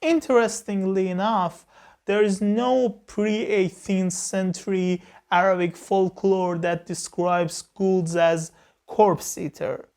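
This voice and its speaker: Woman, film review host, unhurried pace, measured lecturing tone, frequent "uh" pauses. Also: medium pitch, steady tone, a little mellow. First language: Persian. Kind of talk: explanatory film review talk.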